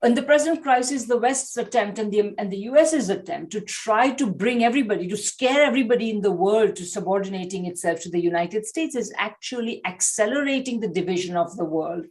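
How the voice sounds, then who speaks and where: Woman panelist, mid-pitched, emphatic, self-correcting, speaking over a video call. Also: brisk, hardly any pauses, darkish tone. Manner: emphatic, rephrasing as she goes. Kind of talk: panel discussion remarks.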